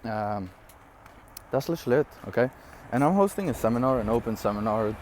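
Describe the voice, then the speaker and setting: A man in his twenties from Syria, for whom English is not his first language, giving an energetic, rambling business pitch straight to camera with frequent fillers and laughs. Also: fairly quick, noisy background. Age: 20s. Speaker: online business coach. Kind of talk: casual direct-to-camera talk.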